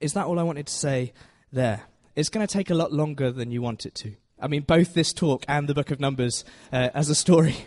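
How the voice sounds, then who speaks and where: A man's voice: on the high side, a little fast, hardly any pauses, darkish tone. A man giving an informal, conversational teaching sermon, self-deprecating and often checking in with his listeners. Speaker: preacher giving a Bible talk to a congregation.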